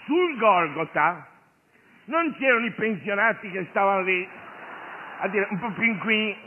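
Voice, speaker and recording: A man's voice: sits high, lively intonation, a little slow. A man performing a theatrical stage monologue, moving between wry, offhand asides and solemn declamation. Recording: thin phone-like sound with loud music. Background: live guitar music.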